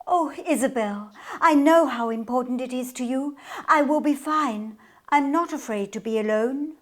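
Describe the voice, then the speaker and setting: Woman playing a high-voiced, anxious younger sister. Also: moderate pace, natural voice. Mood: gentle, brave. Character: anxious younger sister, sweet and easily frightened. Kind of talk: radio play acting.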